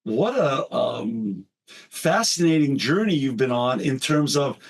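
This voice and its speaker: A man's voice: medium pitch, very animated, slow pace. A man hosting an interview podcast, effusive and enthusiastic as he welcomes his guest and asks questions. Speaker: podcast host.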